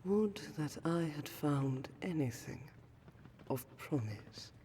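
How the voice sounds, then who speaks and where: Woman as slow, low-voiced, melancholy vampire noblewoman. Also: expressive, mellow, slight background noise. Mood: wistful, restrained sorrow. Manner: formal, archaic, ornate diction, measured. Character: refined, melancholy, courteous ancient vampire noblewoman. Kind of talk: fantasy roleplay audio, scripted monologue to the listener. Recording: long cavernous hall reverb.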